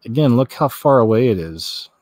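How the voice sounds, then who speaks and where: Guy doing a chatty vlog commentary, folksy and kinda rambly, average pitch, dry sound. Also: animated, moderate pace, a little mellow, no echo. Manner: folksy, rambling, repeats himself. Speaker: host of a news commentary vlog. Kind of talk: casual chatty commentary on clips and read-out news.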